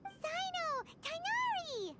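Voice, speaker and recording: A woman voicing a small, childlike fairy companion in a video game, squeaky, inquisitive and excitable. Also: slow, full character voice. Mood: excited greeting. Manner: exclamatory, inquisitive. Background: game music.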